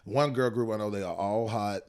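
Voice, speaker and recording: A man's voice: lowish voice, a little fast, a little mellow. A man in his late thirties, a comedian chatting casually on a podcast and telling loose, slangy stories full of asides. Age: 30s. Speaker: guest comedian.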